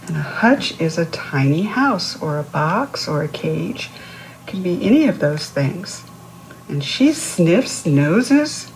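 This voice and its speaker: A woman's voice: fairly low pitch, a little hiss or hum, poor audio. A woman reading a picture book aloud to young children, instructive, posing questions to the listener and explaining words. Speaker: children's read-aloud host.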